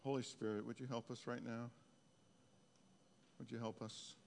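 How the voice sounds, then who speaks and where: A pastor leading a prophetic prayer time, speaking softly and haltingly, repeating his words. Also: medium pitch, flat delivery, vocal fry, slight background noise. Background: guitar music.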